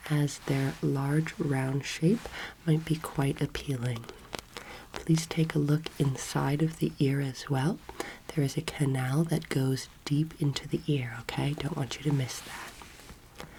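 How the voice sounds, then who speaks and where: Soft-spoken young woman, very even pitch. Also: a little hiss or hum, poor audio. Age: young adult. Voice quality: soft, breathy, often near whisper. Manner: slow, gentle, deliberate instruction. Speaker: ASMR roleplayer as alien anatomy teacher. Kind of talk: soft-spoken ASMR roleplay lecture.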